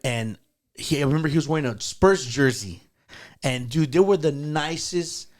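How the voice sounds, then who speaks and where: A man's voice: on the high side, moderate pace. A man telling stories casually as an interview guest, enthusiastic and emphatic. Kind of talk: casual storytelling chat.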